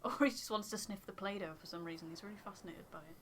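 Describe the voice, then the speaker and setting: A woman's voice: medium pitch, fairly even intonation, rapid-fire, a bit bright. A woman co-hosting a game playthrough, speaking in a casual, hesitant, conversational way. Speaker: video game playthrough co-host. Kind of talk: casual reactions mixed with acted game dialogue.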